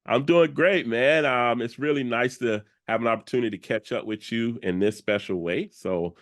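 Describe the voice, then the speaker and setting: A man's voice: mid-range voice, normal speed, no room sound, clean studio sound. A young adult man hosting a podcast, upbeat and enthusiastic, chatting casually with his guest. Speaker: podcast host. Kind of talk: casual interview chat.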